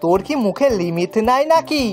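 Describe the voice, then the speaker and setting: A woman's voice: on the high side, very animated, fairly quick, a little mellow, soft background music. A woman playing a naive, eager young male village servant in a cartoon, with dialect and a deferential manner. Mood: scheming, excited. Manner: deferential, rustic dialect turns of phrase. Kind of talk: cartoon voice acting.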